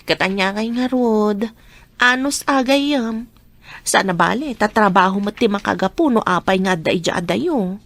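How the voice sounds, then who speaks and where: An actress playing a weary, insecure wife recovering from an accident in an Ilocano radio drama, with plaintive, drawn-out delivery. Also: female voice, mid-range voice, a bit bright, dry recording, close to an everyday voice. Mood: chatty.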